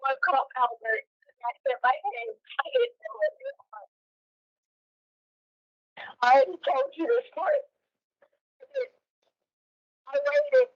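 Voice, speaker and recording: A woman's voice: very high-pitched, sing-song, takes it slowly, pauses often, no echo. A distraught woman crying on a private phone call, pleading and explaining in broken, wailing phrases. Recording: dull lo-fi phone call recording.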